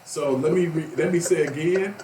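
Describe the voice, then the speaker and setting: A man's voice: a little high, moderate pace, a little mellow. A man of fifty co-hosting a talk radio show, passionate and emphatic, rising to outbursts. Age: 50s. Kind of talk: heated talk radio discussion. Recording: roomy, slightly distant, faint background noise.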